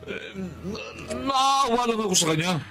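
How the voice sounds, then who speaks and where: A man's voice: sits high, animated, unhurried, pushed and bright, faint music behind, full character voice. A man playing a guilty, pleading husband in a Filipino radio drama, apt to stammer and make excuses. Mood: defensive pleading. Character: flustered, guilty unfaithful husband making excuses.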